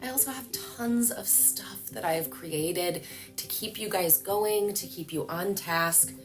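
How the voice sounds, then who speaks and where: Chatty woman coaching with music behind. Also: on the low side. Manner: lively, chatty, animated hand gestures. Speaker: voice-over coach. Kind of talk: casual tutorial to camera. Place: small room at home, indoors.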